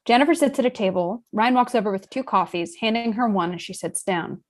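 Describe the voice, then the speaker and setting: A woman serves as narrator for a script read-through. She reads stage directions in a mid-pitched voice, as scripted narration. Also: brisk.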